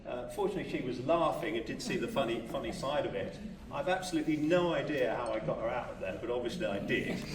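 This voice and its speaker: An English man spins a lighthearted anecdote for a room full of people. His voice sits at an ordinary pitch and comes from some distance off the mic. The hall rings with echo and there is faint room noise. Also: a little mellow. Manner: humorous anecdotal storyteller. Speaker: carer speaking at a carers' event. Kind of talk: storytelling speech to an audience. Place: event hall.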